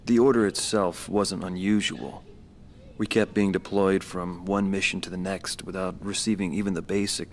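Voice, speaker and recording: A weary ex-pilot recalls the war in a very deep, somber voice. A faint hiss sits under his words. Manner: quiet, somber, reflective. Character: weary older male ex-pilot telling his war memories. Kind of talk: interview-style recollection in a game cutscene.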